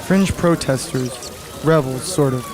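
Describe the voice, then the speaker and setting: A man's voice: mid-range voice, a little slow, music in the background, lightly acted. A man acting in a radio drama as a tense, protective husband in a crisis. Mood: grim.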